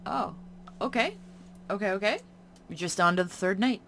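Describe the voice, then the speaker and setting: A woman playing a horror visual novel, reading its text in a hush and breaking off into casual reactions. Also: mid-range voice, expressive, normal speed, a little hiss or hum. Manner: hushed reading broken by chatty reactions. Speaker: game streamer. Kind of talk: reading a game's text aloud with casual commentary.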